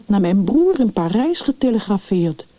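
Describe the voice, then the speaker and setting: A woman reading the part of an anxious, deeply moved young mother in a story, with an agitated, pleading manner. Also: big pitch swings, normal speed, dry recording. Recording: dull, muffled lo-fi recording.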